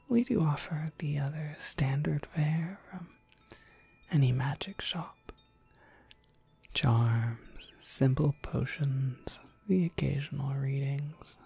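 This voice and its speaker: A woman playing a gentle, courteous witch shopkeeper in an ASMR roleplay, speaking in an unhurried, breathy whisper. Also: low voice, dry recording, exaggerated voice. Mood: matter-of-fact. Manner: unhurried, polite, softly explanatory. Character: gentle witch shopkeeper, courteous, quietly proud of her craft.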